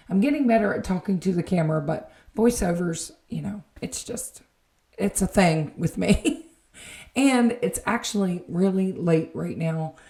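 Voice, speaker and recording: A woman narrating a home garden vlog late at night, slightly raspy and tired, rambling with many ums and self-corrections. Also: on the low side, dark tone, reverberant. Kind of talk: late-night voiceover, casual chat. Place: indoor room at home, voiceover.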